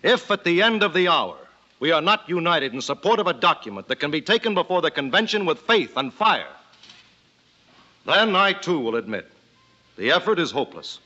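Dull vintage radio recording, resolute founding-father statesman, forceful, formal declamation. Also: a little high, sing-song, normal speed, full character voice. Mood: urgent. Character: resolute, eloquent American revolutionary statesman drafting a declaration. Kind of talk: old-time radio drama acting.

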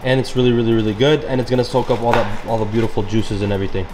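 A man's voice: fairly low pitch, little pitch movement, very fast, background noise. A young man chatting through a cooking session in a big home kitchen, upbeat and gushing about the food. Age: young adult. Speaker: cooking vlogger. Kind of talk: casual cooking commentary.

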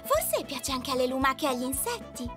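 A woman's voice: sits high, sing-song, normal speed, over loud music. A woman voicing an eager, earnest young female blob in an Italian cartoon dub, with an enthusiastic manner.

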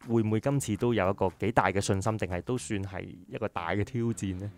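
A man's voice: on the low side, quick pace, soft background music. A man in his thirties with a Hong Kong Cantonese accent, hosting a casual radio interview in a studio. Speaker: radio host. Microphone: studio microphone, headset on, face mask worn.

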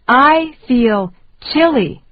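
A woman reading short phrases slowly and deliberately for learners to repeat. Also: high voice, animated, muffled recording. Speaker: English lesson reader.

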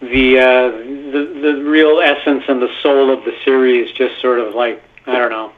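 A man's voice: medium pitch, fairly even intonation, a little slow. A man from Canada, a guest actor, telling stories in a rambling way full of fillers. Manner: rambling, filler-heavy storytelling. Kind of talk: casual phone-in interview. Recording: tinny phone line.

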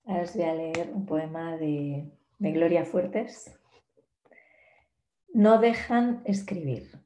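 Woman slowly reading poetry in an echoey room. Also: on the low side, warm tone. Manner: slow, measured poetry reading. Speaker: poet giving a talk. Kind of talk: reading poems aloud in a talk. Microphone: laptop webcam on a video call.

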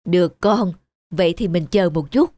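An actor playing a calm, patient old master in a cartoon folktale, measured and kindly. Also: male voice, high voice, no echo, close to an everyday voice. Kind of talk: cartoon voice acting.

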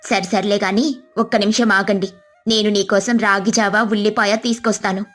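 A woman voicing an excitable, supportive village wife in an expressive way for a moral story. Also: medium pitch, moderate pace, soft background music, voice pushed down, lightly acted. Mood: reassuring. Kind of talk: moral story character voices.

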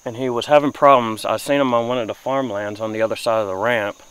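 A man's voice: mid-range voice, fairly even intonation, fast talker, fairly bright tone, clear, resonant tone, low-quality recording. A young man talking casually to camera in folksy, informal phrasing, recorded outdoors in a small boat on a river. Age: young adult. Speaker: outdoor fishing vlogger. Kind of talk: casual vlog talk to camera. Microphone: camera mic at close to mid distance. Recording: faint outdoor ambient noise, insects.

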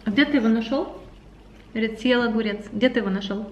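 A woman's voice: on the high side, fast, dull audio. A woman in her thirties chatting casually and playfully for a home vlog, as an affectionate mother. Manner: playful, giggly, affectionate with children. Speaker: vlogger and mother. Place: shop, then a large living room at home. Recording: noisy camera audio with clicks.

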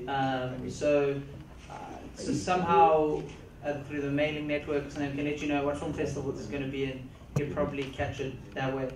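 A man's voice: mid-range voice, level tone, normal speed, hardly any pauses. A man, a filmmaker, answering a question hesitantly with many fillers. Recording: echoey room, noisy, distant mic.

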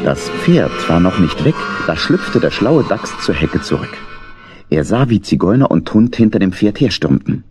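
A man narrating a scripted children's audio play in a deliberate storytelling manner. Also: sits low, big pitch swings, darkish tone, faint music behind.

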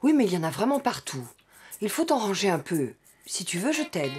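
A woman voicing a caring, mildly exasperated mother donkey in a preschool cartoon, maternal and expressive. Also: mid-range voice, fairly quick, slight background noise, natural voice. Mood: gently chiding.

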